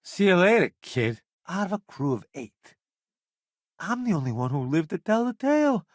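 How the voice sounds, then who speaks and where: A man's voice: sits high, big pitch swings, moderate pace, dry recording, studio quality. A man voicing a vain, easygoing celebrity food critic turned space crewmate in a video game, chatty and wry. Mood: wry storytelling. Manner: wry, chatty, showy, casually self-assured. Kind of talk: video game character voice lines.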